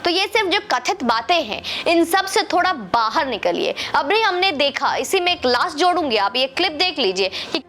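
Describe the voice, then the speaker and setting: High-pitched indignant woman commentator. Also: very animated, moderate pace, few pauses, fairly bright tone. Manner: indignant, sarcastic, rhetorical questioning. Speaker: host of a political opinion video channel. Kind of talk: opinionated political commentary to camera.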